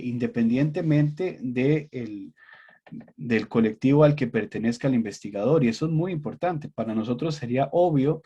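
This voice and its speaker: A Costa Rican man, a guest researcher, answering questions in a measured academic way with many pauses and fillers. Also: medium pitch, mellow, slightly rough, lo-fi sound. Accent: Costa Rican Spanish. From Costa Rica.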